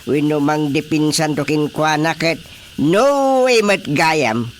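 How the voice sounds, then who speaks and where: An excitable village chairman in an Ilocano-accented radio comedy, with a fairly high male voice. He talks in exclamations and stammers, over noisy background ambience. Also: takes it slowly. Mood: chatty, amused. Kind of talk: radio comedy drama acting.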